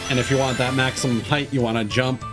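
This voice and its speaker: A man's voice: mid-range voice, fairly even intonation, fast. A man giving casual gameplay commentary as a streamer, muttering and exclaiming at the game as he plays. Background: video game music.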